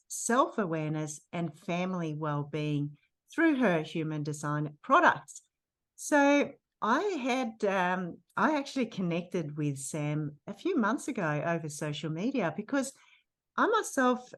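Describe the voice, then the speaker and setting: A woman with a low voice hosts a podcast interview. She talks in a casual, effusive way. Her speech is full of hesitations and restarts. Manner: hesitant, many fillers and restarts; warm, effusive praise of her guest. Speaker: podcast host.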